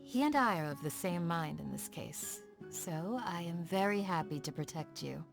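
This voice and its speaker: A slightly low, steady voice of a kindly woman bodyguard in a video game. She is calm, considerate and reassuring, with light music playing behind. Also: moderate pace, creaky. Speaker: game character voice actor.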